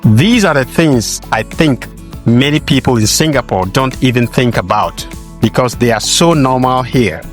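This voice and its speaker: A man, the host, reading a scripted narration for a travel video in a steady, measured way. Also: mid-range voice, faint music behind.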